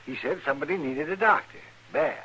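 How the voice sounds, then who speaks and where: An actor playing a chatty, crusty older town doctor with a raspy voice and folksy manner in a radio Western. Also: male voice, on the high side, fairly quick, a little hiss or hum. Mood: puzzled, chatty. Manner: animated, folksy storytelling. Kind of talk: old-time radio Western acting.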